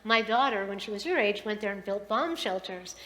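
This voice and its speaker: A woman's voice: mid-range voice, animated, brisk, fairly bright tone, rough recording. A middle-aged woman leading a service in a synagogue sanctuary, heartfelt and affectionate as she gives a blessing and a sermon.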